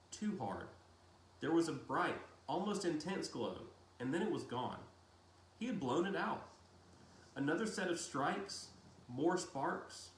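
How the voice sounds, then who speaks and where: A man reading a novel aloud as a storyteller, with deliberate, dramatic phrasing and pauses. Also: a little high. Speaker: audiobook-style reader. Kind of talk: expressive storybook narration of a novel. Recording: roomy echo with faint hiss.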